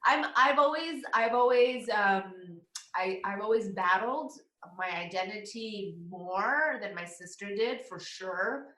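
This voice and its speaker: A woman's voice: medium pitch, slow pace, sharp tone. A woman guest in an easy, unscripted conversation. She laughs readily and stumbles back over her own sentences. Her voice carries a roomy echo. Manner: laughs easily, hesitant restarts. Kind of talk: casual conversation.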